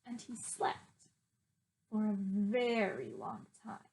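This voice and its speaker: A woman teacher reading aloud to young children, expressive and exaggerating her emphasis. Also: medium pitch, slow.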